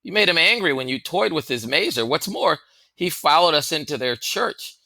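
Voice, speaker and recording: A man's voice: fairly high pitch, expressive, moderate pace, gravelly, bright, forceful tone, no room sound, pitched above the performer's own voice, heavily acted. A man voicing an earnest, anxious young boy with an urgent, slightly timid manner in a storybook reading. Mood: worried, urgent. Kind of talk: storybook character voice in audiobook reading.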